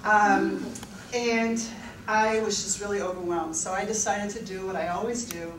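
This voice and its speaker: A woman in her fifties from the Netherlands, a financial advisor telling her personal story to an audience at a university event, candid, laughing often and using many fillers. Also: mid-range voice. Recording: echoey room, mic at a distance, background noise.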